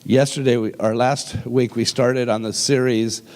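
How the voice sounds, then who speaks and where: An older male pastor preaching with a cold that leaves his voice deep and rough. He talks in a folksy, joking way and slows right down for scripture. His voice rings out through a large, echoing church hall. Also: fairly even intonation, a little mellow. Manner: folksy, humorous, slows down when reading scripture. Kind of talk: sermon. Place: church sanctuary with long reverb. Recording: reverberant hall sound.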